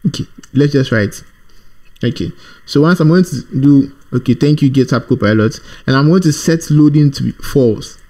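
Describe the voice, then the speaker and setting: A man with a mid-pitched voice walks through a coding tutorial in an informal way. He thinks aloud as he works, halting between short phrases and often repeating himself. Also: mellow. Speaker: programming tutorial presenter.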